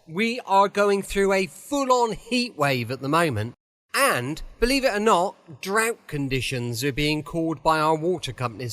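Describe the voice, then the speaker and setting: A man's voice: sits high, fairly bright tone. A British man chatting as the host of a late-night radio show. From United Kingdom.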